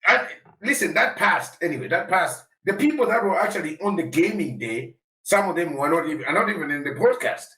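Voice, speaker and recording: A man co-hosting a podcast, telling a story in an animated, laughing way. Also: on the high side, fairly quick, few pauses, distant mic. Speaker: podcast co-host. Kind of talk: casual storytelling. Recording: echoey room, off mic.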